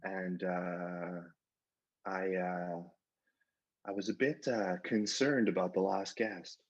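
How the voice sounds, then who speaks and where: Dull muffled audio, husky guy calling in, kinda low voice, hesitant and halting. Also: steady tone. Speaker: phone-in caller. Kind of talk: hesitant casual phone chat.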